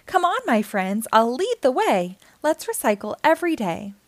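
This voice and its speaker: A woman's voice: sits high, sing-song, normal speed, dry recording. A woman voicing a tall, fretful giraffe who takes charge emphatically in a storybook. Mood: complaining, leading. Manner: complaining, emphatic. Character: tall giraffe, fretful but clear-minded leader.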